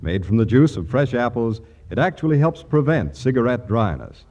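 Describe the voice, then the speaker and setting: Mellow radio ad man. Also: medium pitch, very animated, moderate pace. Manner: polished sales delivery. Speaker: commercial announcer. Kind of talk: 1940s radio advertising read. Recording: dull vintage 1940s radio broadcast.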